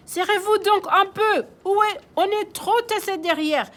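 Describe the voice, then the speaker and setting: A woman's voice: very high-pitched, a little slow, a bit bright, pitched above the performer's own voice. A woman with a Québécois accent voicing a fussy baker woman complaining about the crowded toboggan in a children's storybook reading. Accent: Québécois French. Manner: exclaiming, complaining.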